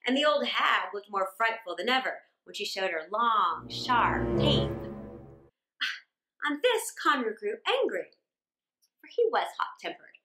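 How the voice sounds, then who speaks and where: Very animated, high-voiced woman storyteller. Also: a little hiss or hum. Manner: expressive, drawn-out emphasis. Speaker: storyteller reading aloud for children. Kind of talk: dramatic scripted story reading. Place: small indoor room. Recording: roomy indoor echo.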